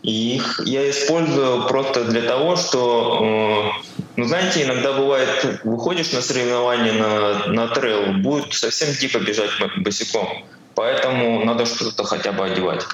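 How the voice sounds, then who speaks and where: A man in his forties answering interview questions casually, telling his own story in halting, broken phrases as he thinks aloud. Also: medium pitch, fairly bright tone. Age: 40s. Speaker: podcast guest, amateur barefoot ultrarunner. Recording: very echoey room, faint background noise.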